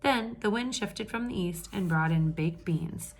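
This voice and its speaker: A woman reading a picture book aloud to children with lively expression, pausing to ask her listeners questions in hushed asides. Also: mid-range voice, slight background noise. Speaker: read-aloud storyteller for children.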